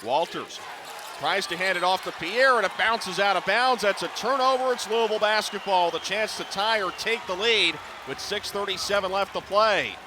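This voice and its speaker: A middle-aged man calling live basketball on radio in clipped running descriptions that can rise to excited calls. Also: sits high, normal speed, background noise. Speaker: play-by-play basketball announcer. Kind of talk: live radio sports commentary. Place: courtside in a basketball arena.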